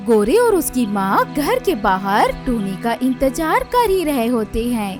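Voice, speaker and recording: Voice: medium pitch, sing-song, measured pace, music in the background. A narrator reading a scripted children's cartoon story.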